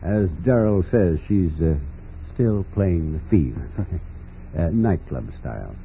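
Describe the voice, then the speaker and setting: Dignified judge, very mellow and dark, lively intonation, courteous, 1940s radio drama. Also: male voice, low-pitched, exaggerated voice. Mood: measured, hesitant. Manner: measured, hesitant, courteous. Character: dignified, formal older male judge. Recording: muffled, noisy 1940s radio recording.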